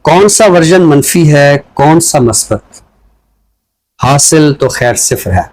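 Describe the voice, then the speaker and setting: A man with a Pakistani accent speaking in a measured, deliberate way, phrase by phrase, as in a literary talk or recital. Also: fairly high pitch, animated, a little mellow, rough recording. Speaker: literary show presenter reciting a poem and introducing a book.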